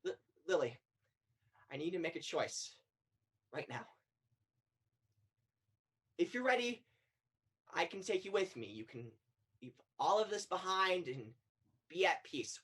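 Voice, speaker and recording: A young actress plays an earnest spirit guide to the afterlife in a one-act play. The delivery is probing, solemn and urgent, with pitch that moves widely from phrase to phrase. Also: female voice, sing-song, takes it slowly, long pauses, slightly rough, exaggerated voice. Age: young adult. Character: earnest, insistent afterlife guide questioning the dead. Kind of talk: student one-act stage play acting.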